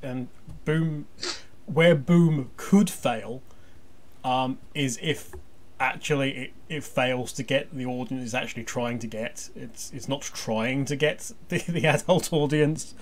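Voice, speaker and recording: A man chatting as a podcast panelist, halting and repeating words as he works out his questions. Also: mid-range voice, a little hiss or hum. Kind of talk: casual discussion.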